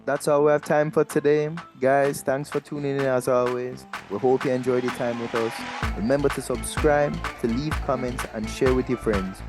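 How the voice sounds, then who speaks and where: A man's voice: mid-range voice, level tone, normal speed, few pauses. Man reading podcast outro over music. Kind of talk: scripted podcast sign-off.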